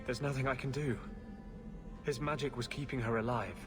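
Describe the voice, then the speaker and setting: A man's voice: mid-range voice, fairly even intonation, moderate pace, music in the background, natural voice. An actor playing a somber, grave man in a video game. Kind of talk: dramatic video game acting.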